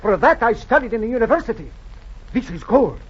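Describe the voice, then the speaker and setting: A man's voice: very high voice, big pitch swings, fairly quick, husky, heavily acted. An actor playing a proud, weary, grumbling Mexican horseman with a Spanish accent, in theatrical 1940s radio style. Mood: excited, insistent. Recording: muffled 1940s radio recording.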